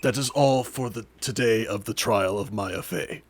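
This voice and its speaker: A man's voice: on the low side, moderate pace, a little hiss or hum, lightly acted. A man voicing a stern, formal courtroom judge, speaking with authority in an improvised video game voice-over. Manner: authoritative, declarative.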